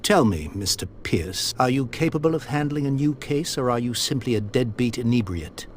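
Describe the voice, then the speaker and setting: A man's voice: mid-range voice, expressive, moderate pace, lightly acted. Brief: male actor for wealthy elderly father, haughty yet desperate, formal diction, medium register, period video game, faint noise behind. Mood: haughty, scornful. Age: older. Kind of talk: video game voice acting, period mystery drama.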